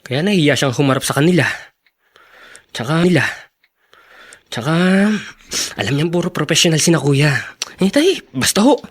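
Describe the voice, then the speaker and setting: A man's voice: on the high side, animated, moderate pace, long pauses, slightly rough. A man playing a bashful but proud, respectful adult Filipino son in a radio drama. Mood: hesitant, sheepish.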